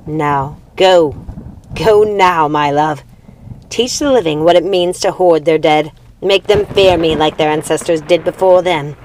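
A woman's voice: low-pitched, measured pace, a little mellow. Storybook witch queen, played by a female reader: cruel, proud, commanding, theatrical, over a noisy recording. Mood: commanding, bloodthirsty.

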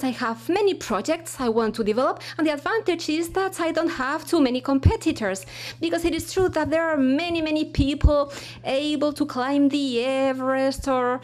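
A woman giving a live spoken interpretation of a keynote talk, halting and full of fillers. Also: high-pitched. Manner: halting, with fillers and frequent restarts. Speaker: simultaneous interpreter.

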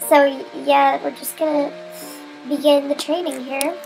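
Young woman, stuffed-up nose, gravelly fry, casual gameplay chatter, light background music. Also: high-pitched, level tone, normal speed, a little mellow, low-quality recording. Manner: chatty, giggly, self-mocking. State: stuffy nose, getting sick. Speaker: gamer.

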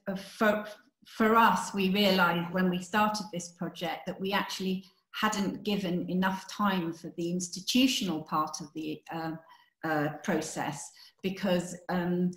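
A woman with a fairly low voice explains her research in an online seminar. She talks thoughtfully, pausing and restarting as she works out her answers. The laptop mic picks up a roomy echo. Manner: thoughtful, many restarts and fillers. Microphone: laptop webcam on video call. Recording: echoey room on video call.

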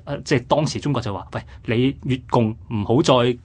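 A man's voice: mid-range voice, a little fast, a little mellow. A man with a Hong Kong Cantonese accent explaining history conversationally on the radio, in a colloquial way with rhetorical questions.

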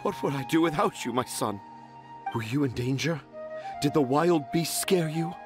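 A man's voice: on the high side, normal speed, close to an everyday voice. An actor playing a theatrical, poetic middle-aged children's author and father in a fantasy video game. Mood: relieved. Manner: theatrical, florid, poetic speech. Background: game background music.

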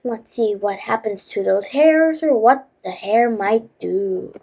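A woman reading a children's fable aloud as a storyteller, halting at times and adding asides to listeners. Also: on the high side, animated, gravelly, mellow, slightly rough.

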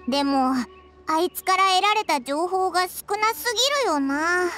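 A woman voicing a tiny brash fairy companion in a game, with rough boyish speech. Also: very high-pitched, animated, unhurried, a bit bright, soft background music, exaggerated voice. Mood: doubtful. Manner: rough boyish speech, calls herself オイラ. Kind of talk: anime game voice acting.

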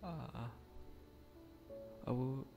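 A young man with a croaky voice, speaking quietly at night in a hesitant, rambling way with frequent restarts as he narrates a game build. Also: mid-range voice, extremely slow, muffled recording, over music. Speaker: gaming channel creator.

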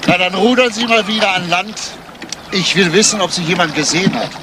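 An actor playing a persistent police detective who questions insistently in a German crime radio play. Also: male voice, sits high, fairly quick, heavy background noise, natural voice. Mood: indignant, insistent.

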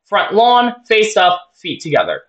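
A man's voice: sits high, wildly swooping pitch, a little slow, a bit bright, highs cut off. A man giving casual, joking reaction commentary, swearing now and then and reading on-screen text aloud. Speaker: reaction video host.

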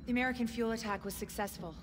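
A woman's voice: fairly high pitch, steady tone, rapid-fire, close to an everyday voice. An actress playing a stern, businesslike female intelligence officer in a video game. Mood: urgent, focused. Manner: serious, businesslike. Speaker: intelligence operations chief. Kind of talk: dramatic video game acting. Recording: reverberant cabin with loud background noise.

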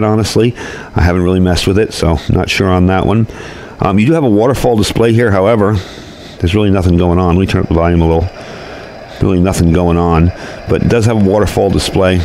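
Low-voiced man reviewing gear, noisy recording. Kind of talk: casual product review and demonstration. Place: indoors at a desk or workbench.

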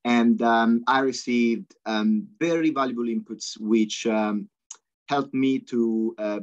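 A man as guest expert presenting software on a webinar, fluent but peppered with ums and uhs. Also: on the low side. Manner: fluent but full of ums and uhs, non-native phrasing. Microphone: video call. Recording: slightly dull video call audio.

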